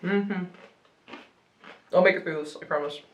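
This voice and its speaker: A woman's voice: lowish voice, a little slow, reverberant, muffled recording, slight background noise. A young woman whose first language is Ukrainian, a radio news presenter chatting and laughing her way through a hot wing challenge. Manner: giggly, rambling, reacting to spicy food. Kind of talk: casual chat during a hot wing challenge.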